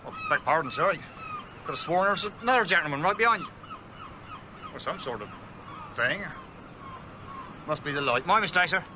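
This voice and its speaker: An obsequious attendant in a radio play. The voice is high and leaps about wildly in pitch, set in clear noisy transport ambience. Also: male voice, wildly swooping pitch, a little fast, pauses often. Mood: puzzled, apologetic. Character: deferential, chatty railway and ferry attendant. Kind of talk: British radio drama acting.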